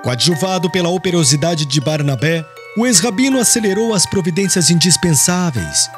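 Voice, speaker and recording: A man's voice: on the high side, lively intonation, moderate pace. A man narrating a religious audiobook in a solemn, formal storytelling style. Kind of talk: scripted literary religious narration. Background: glockenspiel-like music.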